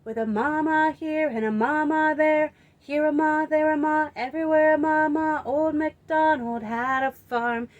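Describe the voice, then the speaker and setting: A woman presenting a children's storytime, singing and telling stories in a playful, expressive way. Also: high-pitched, dull audio. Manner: playful, expressive, drawn-out emphasis.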